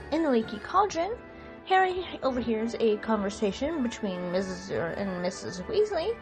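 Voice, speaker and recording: A woman's voice: fairly high pitch, poor audio. A woman hosting, giving an introduction and recap for an audio production. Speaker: host and co-director introducing the production. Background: music.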